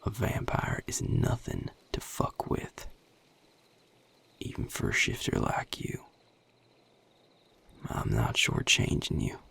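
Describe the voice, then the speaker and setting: Man playing a gravelly, whispery Southern vampire. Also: huge pitch swings, exaggerated voice. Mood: grave warning. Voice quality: breathy, gravelly low drawl. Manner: measured, hushed, plain-spoken with deliberate pauses. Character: guarded, world-weary male Southern vampire, protective and level-headed. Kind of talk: intimate audio roleplay acting addressed to the listener.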